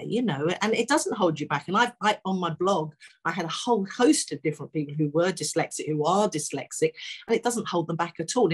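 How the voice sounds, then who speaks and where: Woman, 60s, slightly low husky voice, informal and encouraging advice talk over a video call. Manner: encouraging, conversational. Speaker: guest speaker. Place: furnished room at home. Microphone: video call, laptop microphone.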